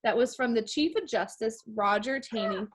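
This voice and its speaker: Higher-voiced American woman teaching young children. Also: normal speed, noisy background. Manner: addresses listeners as friends, informal, explanatory. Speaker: elementary school teacher reading a picture book to pupils. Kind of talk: read-aloud lesson for young children.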